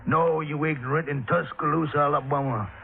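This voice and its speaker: A huge, short-tempered small-town boss from the American South in an old radio play. His voice is fairly high for a man and full of gravel, and he blusters and insults haltingly. The recording is narrow and tinny. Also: steady tone. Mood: indignant. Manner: blustering, insulting, halting as he heaves himself about. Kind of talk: 1950s radio drama acting.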